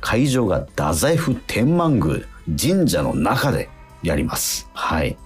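Very low, husky man hosting radio. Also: huge pitch swings, soft background music. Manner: halting, self-interrupting, repeats affirmations. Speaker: radio show host and stage actor. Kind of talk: casual radio chat with announcements.

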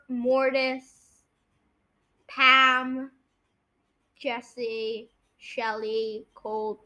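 High-pitched bright young voice in casual chat. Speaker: podcast host. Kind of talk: casual chat, guessing game.

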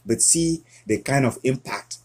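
Earnest Cameroon-born male guest, husky, halting delivery. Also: mid-range voice, expressive, a little mellow. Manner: earnest, halting with frequent restarts. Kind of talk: casual interview conversation.

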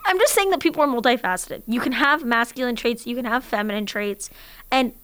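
A raspy-voiced young woman on a podcast, direct and assertive in what she says. Her voice sits a little high, with a rough edge running through it. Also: a little fast, a bit bright. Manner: straightforward, assertive, laughs often. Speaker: podcast co-host. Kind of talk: casual podcast chat.

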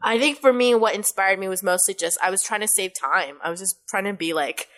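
A woman's voice: medium pitch, brisk, fairly bright tone. A woman with a youthful, kid-like voice and Mandarin as her first language, chatting casually and rambling on a podcast. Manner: chatty, rambling, laughs often. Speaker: podcast host and voice actress.

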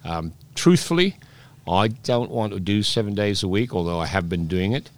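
Deep-voiced chatty man in his sixties. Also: expressive, normal speed. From Scotland, raised in Peru. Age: 60s. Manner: rambling storyteller with many restarts. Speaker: guest, oyster bar operator. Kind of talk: relaxed interview chat.

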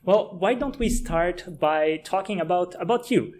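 A man's voice: sits high, a little slow, darkish tone, a little hiss or hum. A young man from Brazil hosting a podcast and interviewing in a casual, conversational way. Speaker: podcast host.

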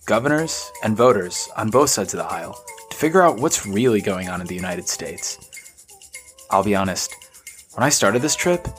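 Man, host, deep voice, faint music behind, friendly questions. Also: a bit bright. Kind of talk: documentary interview and intro.

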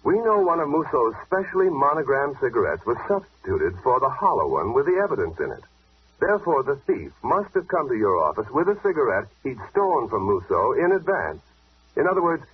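An actor playing a sharp, confident young detective in 1940s radio mystery style. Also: male voice, mid-range voice, big pitch swings, fairly quick, a little hiss or hum, close to an everyday voice. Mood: confident explaining. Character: brisk, sharp young detective son, confidently explaining clues. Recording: muffled old-time radio recording.

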